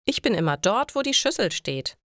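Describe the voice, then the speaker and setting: A woman's voice: mid-range voice, very animated, normal speed, no echo, studio quality, lightly acted. A woman voicing a pushy, easily offended male friend in a story for language learners. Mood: unbothered. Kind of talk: German learner story dialogue.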